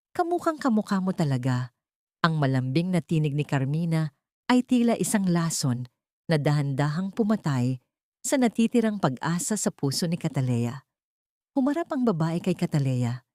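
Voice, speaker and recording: Low-toned woman narrating dramatically. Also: mellow, dry recording, clean studio sound. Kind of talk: dramatic scripted story narration.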